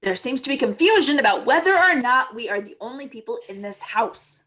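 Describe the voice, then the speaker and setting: A woman playing a blustering, pompous colonel in a comedy table read, forceful and exasperated. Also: fairly high pitch, expressive, a little fast. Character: blustering military colonel, impatient, suspicious and pompous.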